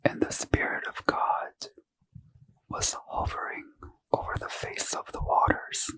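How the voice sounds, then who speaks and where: A man reading scripture in a slow, soft unvoiced ASMR whisper as a host. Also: no room sound, rough recording.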